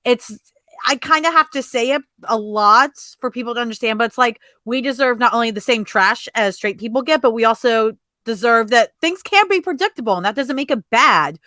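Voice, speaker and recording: A woman's voice: a little high, expressive, brisk, sharp tone, no room sound, studio quality. A woman hosting an interview in a casual, informal, conversational style. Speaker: host of a comics interview show.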